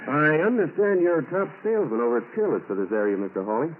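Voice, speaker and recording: An actor playing an observant, persistent federal investigator in a 1940s radio drama, clipped and casually probing. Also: male voice, a little high, big pitch swings, fast. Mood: politely probing. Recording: noisy tinny 1940s radio recording.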